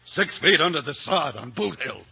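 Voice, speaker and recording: Gravelly, snarling Western outlaw. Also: male voice, on the high side, sing-song, normal speed, loud music behind the voice. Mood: menacing. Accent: American. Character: defiant, snarling male outlaw gunman in the Old West. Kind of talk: old-time radio western acting. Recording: noisy, dull old radio recording.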